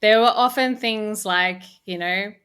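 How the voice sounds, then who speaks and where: A woman's voice: mid-range voice, measured pace, fairly bright tone, smooth. A woman telling stories casually as an interview guest. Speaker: podcast guest, audio drama co-creator. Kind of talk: casual chat, storytelling.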